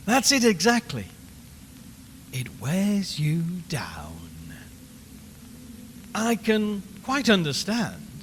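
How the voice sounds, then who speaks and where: An actor playing an unnerved radio reporter in an audio horror drama, rambling and repeating himself with forced cheer as he slowly loses his nerve. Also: high-pitched, huge pitch swings, heavily acted. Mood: fraying, unhinged. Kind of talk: audio horror drama, live broadcast monologue. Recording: long hollow reverb, clear background noise.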